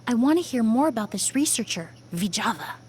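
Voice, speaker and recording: An actress playing a polite, measured young female perfumer in a video game. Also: fairly high pitch, expressive, a little mellow, slight background noise, lightly acted. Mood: polite, serious.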